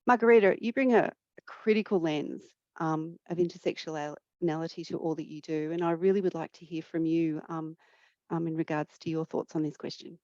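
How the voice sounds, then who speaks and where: A woman with a fairly low voice moderates a panel over a video call, reading her welcome in a measured, deliberate way. The sound is dry and a little dull, like call audio. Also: fairly even intonation, darkish tone, dry recording. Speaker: panel facilitator.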